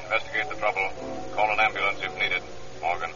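Flat, low male police dispatcher, man performing. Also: fast, over loud music, natural voice. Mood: flat, official. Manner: clipped, monotone, official. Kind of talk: 1930s radio announcement. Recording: dull, very noisy old radio recording.

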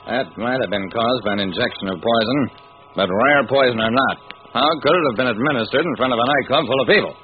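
An actor playing a cautious, skeptical coroner in a measured 1940s radio style, on a tinny, noisy recording. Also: male voice, medium pitch, lightly acted.

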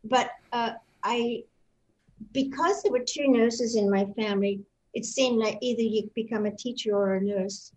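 A woman's voice: fairly high pitch, normal speed, slight background noise, rough recording. A woman in her seventies from Australia, with an Australian accent, recounting her life as a guest in a live online interview, rambling with many fillers and restarts.

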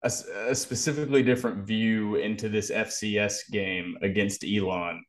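A man with a fairly low-pitched voice. He is a co-host on a sports interview show. He talks in a conversational style, mixing questions with his own commentary. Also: steady tone, moderate pace. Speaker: podcast co-host.

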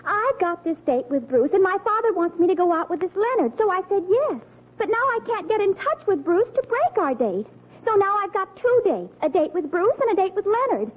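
A woman's voice: extremely high pitch, big pitch swings, brisk. A woman with an American accent playing an earnest, easily exasperated teenage daughter in an old radio sitcom, with an emphatic, girlish delivery. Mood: urgent, persuasive. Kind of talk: 1940s radio sitcom acting. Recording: tinny old radio recording.

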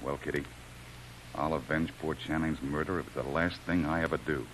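Very deep-voiced heroic adventurer, hissy radio. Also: male voice, steady tone, moderate pace, fairly bright tone, low-quality recording. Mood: grim, vengeful. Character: Jim, resolute heroic adventurer, commanding and brave. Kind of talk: 1930s-40s radio adventure acting. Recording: hissy old radio recording.

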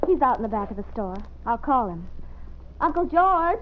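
An actress playing a spirited, easily indignant young frontier niece in an old-time radio Western. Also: female voice, fairly high pitch, sing-song, moderate pace, background noise. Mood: pleasant. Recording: muffled vintage radio recording.

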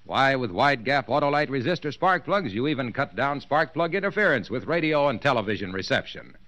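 An actor playing an eager, glib salesman in a 1940s radio advertising skit, with brisk, punchy patter. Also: mid-range voice, big pitch swings, a bit bright, no room sound, highs cut off. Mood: eager selling.

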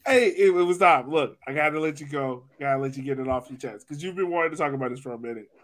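A man's voice: on the high side, fast talker, a bit bright. A man hosting a casual, conversational sports discussion as a podcast host.

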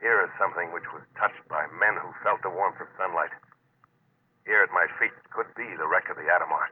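A low American man's voice through a faintly noisy, narrow vintage radio recording. A man acts out a cool salvage diver who speaks tersely and dryly, as in an old suspense play. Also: a little fast, close to an everyday voice. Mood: brooding narration. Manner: dry, terse, matter-of-fact. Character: cool, laconic male deep-sea salvage diver. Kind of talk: old-time American radio drama acting and narration.

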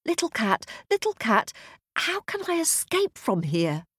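A woman's voice: a little high, wildly swooping pitch, measured pace, fairly bright tone, breathy edge, no room sound, studio quality, voice pushed up, heavily acted. A woman voicing a polite, frightened little girl in a storybook, sweet and pleading.

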